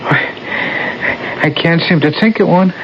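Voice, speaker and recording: A man's voice: fairly high pitch, slow pace. An actor playing a meek, bumbling male ghost in a radio drama, hesitant and deferential, with a stammer. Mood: sheepish, lost. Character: meek, bumbling, apologetic male ghost named Peter Pruitt. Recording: muffled, noisy 1970s radio recording.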